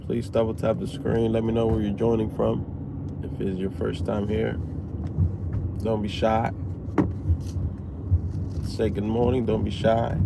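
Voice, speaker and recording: A man's voice: on the low side, little pitch movement, unhurried, gravelly, long pauses. A man from Miami hosting a casual livestream from his car, chatting loosely with viewers and greeting them by name. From Miami, Florida. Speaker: livestream host. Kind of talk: casual live chat with viewers. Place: inside a car. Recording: loud car and traffic noise.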